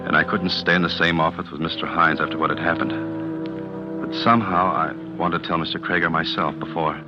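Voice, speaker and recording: An actor playing an earnest, uneasy young office clerk, hesitant and plain-spoken, in a 1940s radio drama. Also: male voice, low-pitched, steady tone, fairly quick, over music, lightly acted. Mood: uneasy, reflective. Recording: dull old radio recording.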